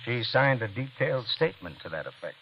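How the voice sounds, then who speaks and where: A man's voice: medium pitch, very animated, a little fast, breathy edge. An American man acting a skeptical police detective in an old radio drama, sardonic and matter-of-fact. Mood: grimly calm. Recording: muffled, faintly noisy vintage radio.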